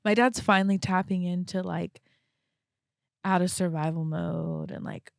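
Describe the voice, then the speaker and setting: Young woman on a podcast, vocal fry, close dry sound, thinks out loud and says like a lot. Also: on the low side, fairly even intonation, no room sound. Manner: halting, frequent "like" as verbal filler. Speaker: podcast guest. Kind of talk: reflective casual conversation.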